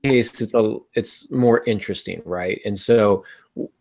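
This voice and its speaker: Middle aged guy, kinda low voice, chatting as podcast host on a video call. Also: a little slow, vocal fry, darkish tone, soft background music. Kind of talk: casual podcast discussion on writing. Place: small home room, indoors. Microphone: earbud headset on a video call.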